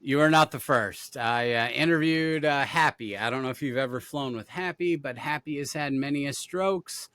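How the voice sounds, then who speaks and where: Chatty man, upbeat and bright. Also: mid-range voice, moderate pace, few pauses. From Denver, USA. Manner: rambling, upbeat, lots of fillers and restarts. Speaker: podcast host. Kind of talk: casual gaming podcast interview.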